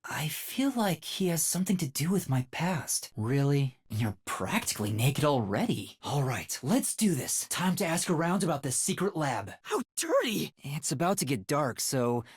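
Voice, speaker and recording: An actor playing an earnest, timid young detective trainee in a video game, light and boyish, polite and hesitant. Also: male voice, a little high, big pitch swings, moderate pace, few pauses, slightly rough, no room sound, clean studio sound. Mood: flustered, urgent. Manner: earnest, hesitant, polite, stammers when flustered. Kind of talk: video game voice acting, English dub.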